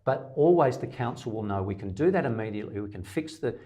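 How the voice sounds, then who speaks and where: A man's voice: on the low side, lively intonation, quick pace, warm tone. An Australian man, a middle-aged independent candidate, answering interview questions emphatically in long lists, with some stammered restarts.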